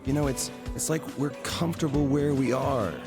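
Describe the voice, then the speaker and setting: A man's voice: mid-range voice, moderate pace, close to an everyday voice. A man playing an evasive, hesitant boyfriend in his thirties in naturalistic video game dialogue. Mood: defensive. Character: evasive, slacker boyfriend man dreading marriage. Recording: music playing behind the voice.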